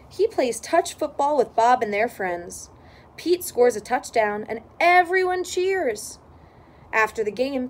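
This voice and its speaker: A woman reading a children's storybook aloud in expressive narration. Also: sits high. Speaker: storybook reader.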